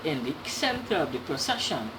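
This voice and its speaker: Low-voiced, animated storyteller woman, noisy recording. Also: normal speed. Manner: animated, repeats words and explains to young listeners. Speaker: storyteller reading a children's book aloud. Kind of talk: dramatic read-aloud storytelling with asides to listeners.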